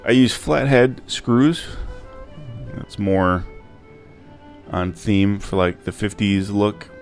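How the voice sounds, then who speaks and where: A man with a deep, low voice narrates a casual how-to. His delivery is informal and hesitant, stopping and starting as he explains each step. Faint music plays behind him. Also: slow pace. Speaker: DIY prop maker and channel host.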